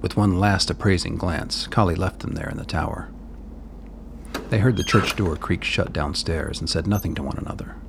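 A man narrating a scripted audio drama in a measured, literary style. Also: low voice, vocal fry, noisy background.

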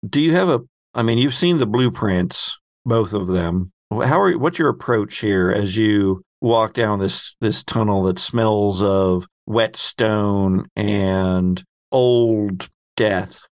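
A man narrates a tabletop role-playing game as its game master. His voice is low and gravelly, and he takes his time, setting out each scene descriptively. The sound is close and dry. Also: takes it slowly, hardly any pauses, no room sound.

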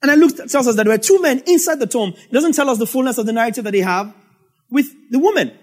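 A man's voice: extremely high pitch, animated, fast. A man with a Nigerian English accent preaching a sermon as a pastor, telling the story in a conversational way with asides.

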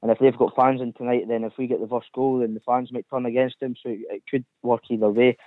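A man's voice: mid-range voice, flat delivery, fast talker, no echo. A man calling in to a football radio show, talking hesitantly with many fillers. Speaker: caller. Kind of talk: phone-in chat. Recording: phone line.

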